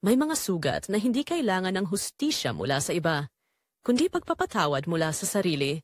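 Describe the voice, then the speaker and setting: A woman with a Filipino accent playing a betrayed middle-aged mother, telling her story solemnly and deliberately in an audio drama. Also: on the low side, wildly swooping pitch, no echo, studio quality. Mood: reflective, resolute. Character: betrayed middle-aged mother, wounded but quietly resolute. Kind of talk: dramatic first-person audio story narration.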